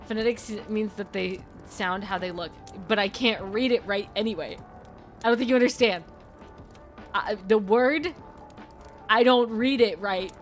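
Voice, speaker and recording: A woman's voice: mid-range voice, unhurried. A woman streamer in casual chat, rambling and laughing often with faint music behind her.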